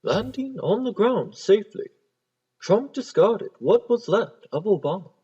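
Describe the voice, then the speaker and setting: A man plays a mock-grave game narrator with a high, very raspy voice. The delivery is theatrical and swings widely in pitch. Faint music sits behind it. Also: sing-song, a little slow, warm tone, dull audio, heavily acted. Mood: mock-serious. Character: mock-dramatic narrator of an absurd comic game. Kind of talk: scripted comic narration.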